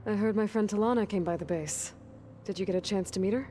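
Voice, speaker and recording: A woman's voice: medium pitch, fast, mellow, natural voice. A woman playing a guarded, determined young warrior hero in a game cutscene, in an understated, hesitant way. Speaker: video game protagonist. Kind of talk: naturalistic game cutscene acting. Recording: music behind the voice.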